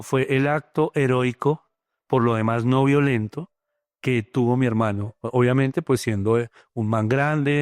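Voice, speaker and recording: Middle-aged bogotá guy musing slowly, lots of ehs, smooth clear voice, dry close sound. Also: mid-range voice, a little mellow, no room sound. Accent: Bogotá Colombian Spanish. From Colombia. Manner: thoughtful, many hesitant fillers. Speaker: poet. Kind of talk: reflective interview talk.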